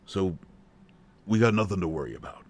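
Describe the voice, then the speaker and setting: A man's voice: deep voice, animated, a little fast, darkish tone. A man playing a nervous, protective, old-fashioned country man in an intimate roleplay audio drama, speaking in a hesitant, folksy way. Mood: folksy, determined.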